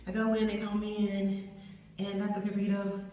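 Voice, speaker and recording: A woman's voice: medium pitch, monotone, moderate pace, dark tone. A woman with an East Texas accent, sore and swollen after surgery, rambling candidly in a casual video diary. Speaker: vlogger recovering from surgery. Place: indoor room with a door. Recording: echoey room, voice from far off.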